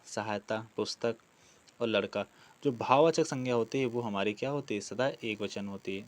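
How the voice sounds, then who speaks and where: A man teaching an online exam-prep class, reading out quiz questions and explaining the answers, often repeating key words. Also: mid-range voice. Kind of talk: online lesson, working through quiz questions.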